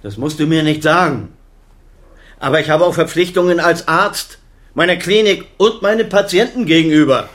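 A man's voice: a little high, normal speed, fairly bright tone, close to an everyday voice. A man acting in a naturalistic radio drama as a middle-aged doctor and father, defensive, self-justifying and pleading.

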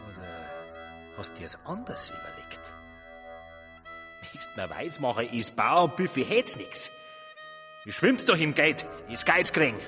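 A jeering ex-convict in a radio drama, coarse and menacing, with a Bavarian accent. His lowish voice swings widely in pitch as he taunts with mock politeness. It rings in a long reverb over music. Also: sing-song, a little slow, long pauses. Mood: threatening, mocking.

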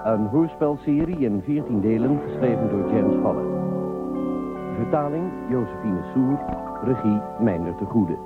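A male announcer reads scripted radio drama titles. His voice is thin and phone-like, with loud music behind it. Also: fairly low pitch, expressive, unhurried.

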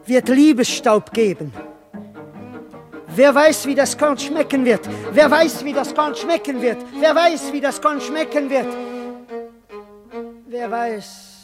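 An actor playing an eerie visionary who warns in chanting, repetitive declamation in a poetic radio drama. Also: male voice, very high-pitched, slow, long pauses, over music. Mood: urgent, visionary.

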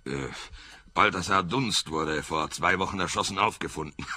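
An actor playing a smug, blustering lobbyist in a radio play, husky and insistent, with hesitant openings. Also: male voice, sits low, normal speed, sharp tone, full character voice. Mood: alarmed, insistent. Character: smug male tobacco lobbyist, blustering and alarmist. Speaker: client of a private detective.

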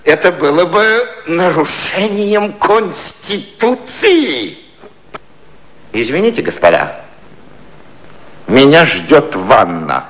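An actor playing a wily elderly British prime minister in a 1950s satirical radio play, ironic, declamatory and coaxing. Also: male voice, a little high, big pitch swings, slow pace, pauses often, a bit bright, heavily acted. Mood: triumphant, mocking.

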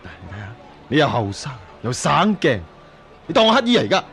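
A man's voice: medium pitch, sing-song, quick pace, a bit bright, soft background music. A man with a Hong Kong Cantonese accent playing a bullying middle-aged addict father in a radio drama, sneering and blustering menacingly.

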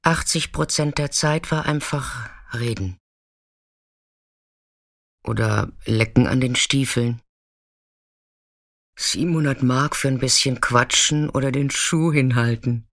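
A man acting in a radio play as a weathered, fearless narrator, speaking in an understated, intimate way with hushed moments. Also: mid-range voice, takes it slowly, long pauses, no echo, studio quality. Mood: matter-of-fact, confiding.

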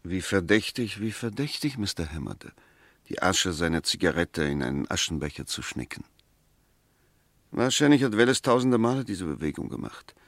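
An eloquent defence advocate in a 1960s German radio drama. His voice is on the low side and recorded very dry. He argues insistently, with precise diction, emphatic stresses and scornful rhetorical questions. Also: very animated, normal speed, a bit bright, no echo, exaggerated voice.

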